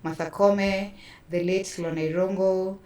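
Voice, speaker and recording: A woman's voice: mid-range voice, steady tone, a little slow, a little mellow. A woman with a Kenyan accent telling stories conversationally as an interview guest, acting out quoted remarks as she goes. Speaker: theatre producer, interview guest. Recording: roomy echo, distant microphone.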